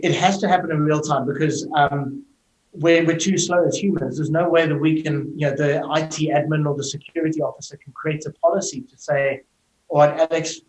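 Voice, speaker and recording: A man's voice: a little high, a little mellow. A middle-aged man with a South African accent who gives fluent, explanatory interview answers over a video call and fills his speech with "you know". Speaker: tech company executive, guest. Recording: roomy echo.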